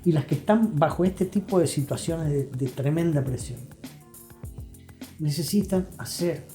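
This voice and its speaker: Older Argentine man with a mellow voice. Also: on the high side, measured pace. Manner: emphatic, didactic, repeats phrases. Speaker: guest therapist. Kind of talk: reflective interview talk. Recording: roomy echo, faint music.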